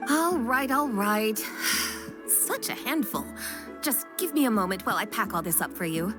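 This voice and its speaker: Woman as a lively, shrewd shopkeeper. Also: a little high, normal speed, over music, close to an everyday voice. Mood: wry. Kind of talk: game voice acting.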